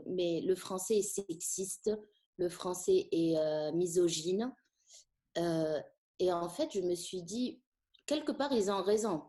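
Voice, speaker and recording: A middle-aged woman from Tunisia whose first language is Arabic, a French teacher speaking thoughtfully in a conversational interview, pausing often with fillers. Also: fairly low pitch, dark tone. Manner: thoughtful, hesitant with frequent fillers.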